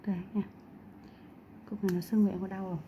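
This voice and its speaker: A woman talks casually as she works in a clinic treatment room, explaining what she sees. Her voice sits at a middle pitch. The recording is a little muffled, with faint noise underneath. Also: flat delivery, fast talker. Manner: chatty, explains cases while treating. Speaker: acne extraction practitioner. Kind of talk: casual chat while working.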